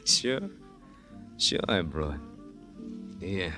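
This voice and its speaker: Cocky, low-voiced American radio crook. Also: male voice, expressive, extremely slow, sharp tone, faint music behind, heavily acted. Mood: smug, sly. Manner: smug, slangy, needling. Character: cocky, sly male murderer and crook, bribing a cop. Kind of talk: 1950s radio crime drama acting.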